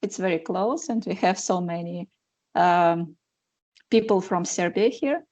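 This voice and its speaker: Slow, low-voiced hesitant woman. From Slovenia. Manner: hesitant, soft laughs.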